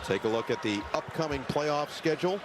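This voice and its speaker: Male play-by-play announcer over loud arena noise. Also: a little high, lively intonation, fairly quick. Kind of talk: live basketball broadcast commentary. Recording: game sound, loud background noise. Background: arena noise, bouncing basketball.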